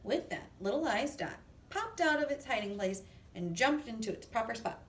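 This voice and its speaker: A woman reading a children's story aloud in an expressive, deliberate way. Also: on the high side. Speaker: storybook reader. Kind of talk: children's read-aloud narration. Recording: echoey room, distant mic, faint noise.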